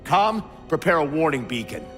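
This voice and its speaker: Stubborn military captain, authoritarian, formal orders, game dialogue, reverberant, music underneath. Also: male voice, fairly high pitch, sing-song, normal speed, a bit bright. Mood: commanding. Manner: stern, formal, commanding. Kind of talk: video game voice acting. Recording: long reverb, music behind.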